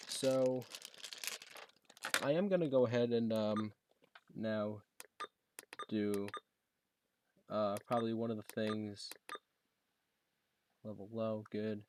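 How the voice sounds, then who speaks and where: A man talking casually and haltingly, thinking aloud as he demonstrates a gadget he is setting up. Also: lowish voice, fairly even intonation, noisy background. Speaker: product reviewer demonstrating a gadget. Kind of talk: casual unscripted unboxing and setup demo.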